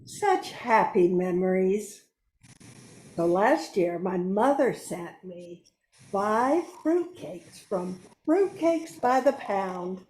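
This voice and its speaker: A woman's voice: mid-range voice, lively intonation, slow pace, a little mellow, slight background noise. An older woman playing a nostalgic satisfied customer in an amateur radio play, in a roomy recording. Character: nostalgic, excited woman giving a fruitcake testimonial. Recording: roomy, echoing sound.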